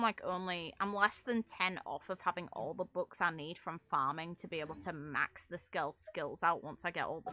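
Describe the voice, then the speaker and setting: A woman's voice: on the low side, pushed and bright, a little hiss or hum. A young adult woman giving casual, rambling game commentary in an informal, amused way, as a streamer.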